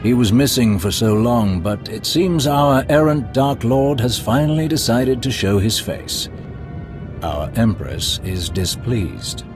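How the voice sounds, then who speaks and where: An actor playing a smooth, scheming male Sith lord who speaks in a courtly, ingratiating, measured way. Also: mid-range voice, close to an everyday voice. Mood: suave. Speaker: Imperial schemer. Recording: game cutscene over loud music.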